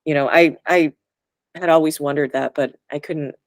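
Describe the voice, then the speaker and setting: A woman's voice: low voice, fairly even intonation, moderate pace, no echo. A woman teacher being interviewed over a video call, talking casually and a bit hesitantly. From United States. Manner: hesitant, laughs often. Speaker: guest teacher.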